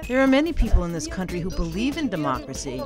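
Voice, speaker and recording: A woman's voice: lowish voice, sing-song, quick pace, music in the background. A woman giving an earnest documentary voice-over translation.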